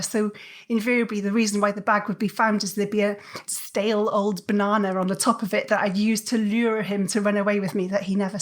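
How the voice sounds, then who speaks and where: Welsh woman recalling her childhood. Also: mid-range voice. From South Wales. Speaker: podcast guest. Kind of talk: reflective interview storytelling.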